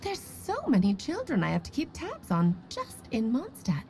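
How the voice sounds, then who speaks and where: A breezy, playful mage, very expressive. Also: female voice, a little high, moderate pace, a little hiss or hum. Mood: playful, teasing. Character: playful, breezy, self-assured powerful mage mother. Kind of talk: video game voice acting.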